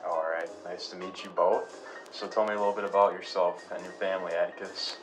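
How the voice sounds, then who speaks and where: A man's voice: low voice, little pitch movement, fairly quick, faint music behind, lightly acted. A man playing a polite, curious radio show host in an acted interview, conversational and prompting. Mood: polite, casual.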